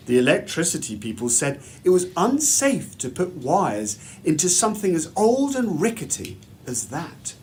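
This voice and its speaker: A man reads a children's story aloud in a measured, deliberate, expressive way, like a headmaster at storytime. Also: a little high, a little hiss or hum.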